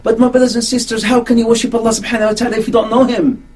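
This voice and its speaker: High raspy voice guy giving a lecture, kinda far from the mic. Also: flat delivery, very fast, a little mellow. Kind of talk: religious lecture.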